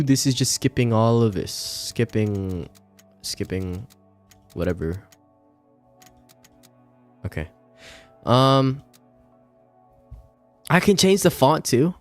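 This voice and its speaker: A man's voice: mid-range voice, creaky. A man commentating casually to himself as he streams a visual novel and reads game text aloud.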